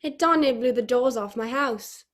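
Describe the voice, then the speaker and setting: A woman's voice: on the high side, normal speed, natural voice. A young woman acting a stubborn, folksy male farmer with a rustic drawl in a comic radio play. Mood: earnest. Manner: blustery, folksy. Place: home room, on a video call.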